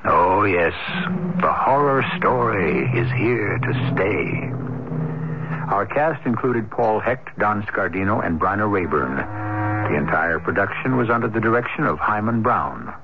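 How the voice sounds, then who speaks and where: A man hosting a radio mystery series with measured, theatrical scripted narration. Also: lowish voice, lively intonation, heavy background noise. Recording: dull old radio broadcast, loud music.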